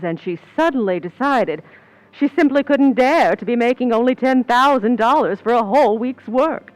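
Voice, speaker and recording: A woman's voice: medium pitch, close to an everyday voice, rough recording. An actress playing a wry, cynical movie stand-in, narrating her own story in an old-time radio suspense play. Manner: wry, knowing, sardonic.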